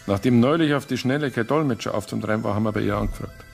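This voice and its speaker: Creaky-voiced Bavarian policeman played by an actor. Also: mid-range voice, very fast, faint music behind, natural voice. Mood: hesitant, informative. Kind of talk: naturalistic radio drama acting.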